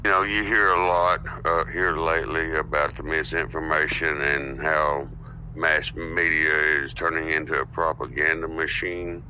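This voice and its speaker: A man with a very deep voice talks politics as a podcast guest. He explains his views earnestly and steadily. The recording sounds dull and lo-fi. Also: steady tone, measured pace, slight background noise. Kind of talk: casual political discussion. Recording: dull lo-fi audio.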